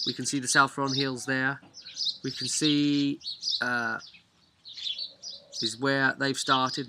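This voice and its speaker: Guy game master recapping his tabletop session, rambly and chatty, average pitch, noisy recording w birds tweeting. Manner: rambling, conversational storytelling. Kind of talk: casual recap of a tabletop role-play session. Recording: clearly noisy, birdsong behind.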